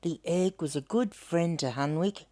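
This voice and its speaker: A woman's voice: lowish voice, a little slow, dark tone. An older woman with an Australian accent reading a storybook aloud to children, expressively and with questions for young listeners.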